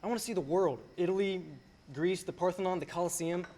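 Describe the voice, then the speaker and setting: A man's voice: high-pitched, a little fast, darkish tone, close to an everyday voice. A young man acting an eager, teasing small-town dreamer in a radio play, boyish and stammering when flustered. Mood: eager, dreamy. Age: young adult. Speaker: student actor.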